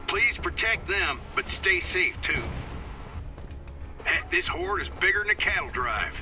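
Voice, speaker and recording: A folksy radio commander. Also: male voice, high voice, distant mic, background noise. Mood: urgent. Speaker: radio handler guiding the player.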